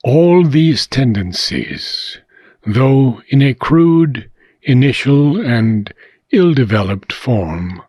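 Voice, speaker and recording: A man reading philosophical prose aloud in a measured, deliberate way with frequent pauses. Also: mid-range voice, breathy edge, no room sound, highs cut off. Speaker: reader of a philosophical book.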